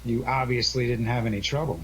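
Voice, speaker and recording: A man's voice: mid-range voice, steady tone, brisk, fairly bright tone, close to an everyday voice. A man playing a genial, gallant detective in a 1940s-style radio drama, with an affable, playful manner. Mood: affable, charmed. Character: genial, gallant male adventurer detective, easily charmed. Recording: faintly noisy radio drama recording.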